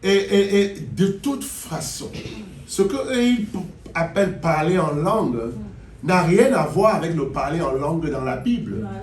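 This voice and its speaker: French-speaking preacher, high voice, echoey hall. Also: male voice, a little slow. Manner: animated, repeats phrases, mock gibberish for effect. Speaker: interpreter and preacher. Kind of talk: sermon with call and response. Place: church hall.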